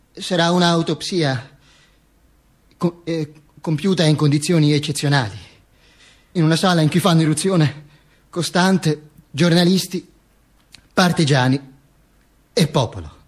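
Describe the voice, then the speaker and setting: An actor playing a vain dead dictator in a theatrical monologue, pompous and halting, with stammered repetitions. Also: male voice, on the high side, husky. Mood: dry, morbid. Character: vain dead dictator narrating from beyond the grave. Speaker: stage actor performing a monologue.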